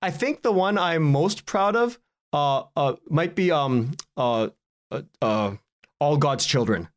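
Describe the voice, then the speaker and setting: A man with a moderately high voice talks as an interview guest. He is casual and rambles, doubling back on his words and breaking off to start his sentences again. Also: lively intonation. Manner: halting, rambling, self-interrupting with repeated words. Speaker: podcast guest, writer and podcaster.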